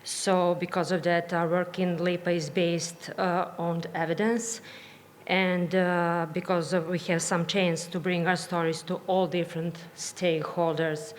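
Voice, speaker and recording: A woman's voice: fairly low pitch, level tone. Nervous Serbian-accented woman in an echoey hall. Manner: nervous, halting delivery in non-native English. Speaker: patient advocate speaking at a conference. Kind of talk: conference presentation. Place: conference hall, speaking to an audience. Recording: echoey hall with clear background noise.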